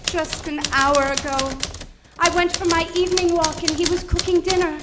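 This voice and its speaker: A woman's voice: very high voice, steady tone, normal speed. A woman playing a coy, brazen femme fatale client in a live comedic radio drama, with a theatrical, mock-innocent delivery. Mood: melodramatic. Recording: very noisy live theater stage recording.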